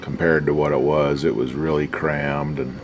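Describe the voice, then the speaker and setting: A man's voice: rumbling low, level tone, normal speed, slight background noise. A man giving casual, unscripted commentary as a hobbyist repairer, talking in a folksy, slangy mutter while he works. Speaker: hobbyist radio restorer and video host.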